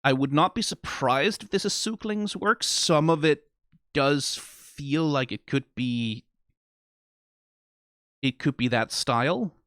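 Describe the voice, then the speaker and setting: A man giving off-the-cuff reaction commentary, informal and emphatic with casual swearing, rambling and halting as if short on sleep. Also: a little high, fairly bright tone, no room sound, studio quality. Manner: rambling, halting, trailing off mid-thought. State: tired after being awake for twenty hours. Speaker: host of a reaction video.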